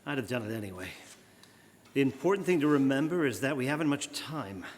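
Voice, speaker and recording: A man's voice: mid-range voice, animated, fairly quick, lightly acted. A man playing a clever, urgent amateur detective in an old-time radio drama, speaking in an earnest, decisive way. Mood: anxious, urgent. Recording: live stage in reverberant church hall.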